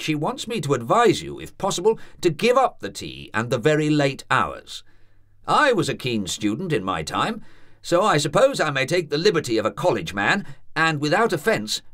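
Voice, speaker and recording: A man reading aloud and voicing a solemn older country doctor who speaks in a formal, measured way. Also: a little high, wildly swooping pitch, a bit bright, voice pushed up. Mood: advising. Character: grave, deliberate older male country doctor.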